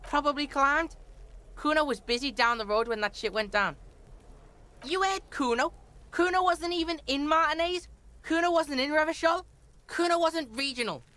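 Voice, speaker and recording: A defiant young street punk from a video game. The voice is high and piercingly bright, with a swaggering sneer and a hostile, cocky edge. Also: female voice, moderate pace. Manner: swaggering, sneering, speaks of self in third person. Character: cocky foul-mouthed delinquent street boy, defiant, hostile. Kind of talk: video game character acting.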